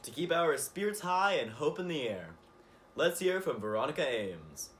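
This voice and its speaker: A man's voice: fairly high pitch, very animated, normal speed, fairly bright tone. A man playing a formal public news presenter, reading scripted introductions. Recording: faint background noise.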